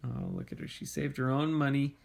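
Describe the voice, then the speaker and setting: A man reads a storybook aloud in a middle-pitched voice. His delivery is expressive and aimed at a small child, slipping between scripted narration and casual questions about the pictures. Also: a little fast, creaky. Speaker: read-aloud host reading to a young child. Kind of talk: storybook read-aloud with chatty asides to a child.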